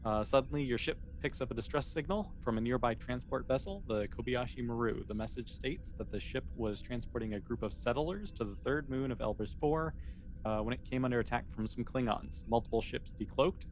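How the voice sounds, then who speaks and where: A man casually improvising narration as the game master of a tabletop role-playing game, with conversational, halting phrasing. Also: medium pitch, poor audio. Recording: clear background noise.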